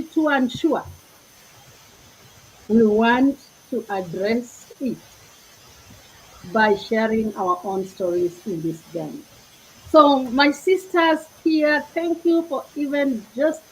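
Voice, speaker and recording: Husky, higher-pitched Kenyan woman hosting. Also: poor audio. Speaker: host. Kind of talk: hosting an inspirational panel talk.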